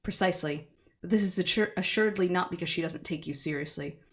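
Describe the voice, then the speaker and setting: A woman voicing a cryptic cloaked androgynous entity who speaks slowly, quietly and gravely. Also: lowish voice, natural voice.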